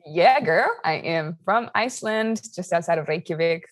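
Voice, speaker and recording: A woman's voice: on the low side, animated, moderate pace, no room sound, studio quality. An Australian woman guest chatting over a video call, bubbly and laughing as she talks. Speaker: podcast guest, YouTuber.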